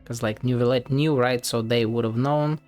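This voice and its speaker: A game streamer talks through a playthrough in a medium-pitched voice. The commentary is casual and muttered, sprinkled with swearing, with game music playing behind it. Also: fairly even intonation, normal speed, a little mellow. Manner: muttering, swearing, thinking aloud. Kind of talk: casual play-along gaming commentary. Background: video game music.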